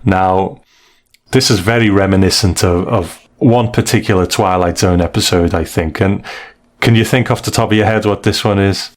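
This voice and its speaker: A man's voice: deep voice, normal speed. A man hosting a casual review discussion, retelling and explaining stories in a conversational, hedging way. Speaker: podcast host.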